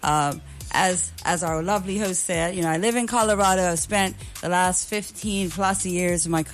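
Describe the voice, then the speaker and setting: A woman's voice: on the low side, moderate pace, faint music behind. Earnest woman dancer on radio. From Bermuda. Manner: earnest, lots of um fillers. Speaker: guest dancer and choreographer. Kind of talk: radio interview talk. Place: radio studio.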